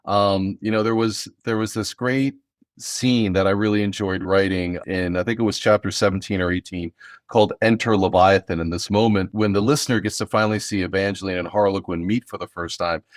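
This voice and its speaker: A man's voice: fairly low pitch, fairly even intonation, a little fast, no echo, clean studio sound. A man hosting a podcast, talking casually as he introduces an episode. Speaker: podcast host and audio drama writer.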